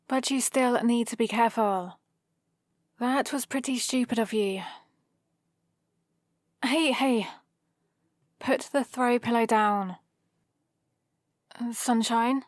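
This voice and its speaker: A British woman playing a proud, scheming supervillain with a soft spot, in a teasing, intimate ASMR roleplay. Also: a little high, no room sound, clean studio sound. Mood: exasperated, scolding. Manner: teasing, sardonic, casually conversational. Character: proud scheming female supervillain, gruffly caring for a rescued hero.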